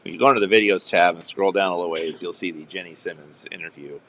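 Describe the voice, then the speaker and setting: Rambling male stream host, low voice. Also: fast, pushed and bright, low-quality recording. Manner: rambling, chuckling, self-interrupting. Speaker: show host. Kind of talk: casual live-stream chat.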